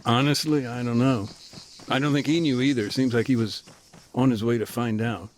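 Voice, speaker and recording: An actor playing a thoughtful aid worker in a war zone, talking on the phone from a car in a relaxed, hesitant way. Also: male voice, medium pitch, moderate pace, a little hiss or hum, natural voice. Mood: calm. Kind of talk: video game cutscene dialogue. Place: moving vehicle. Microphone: phone call.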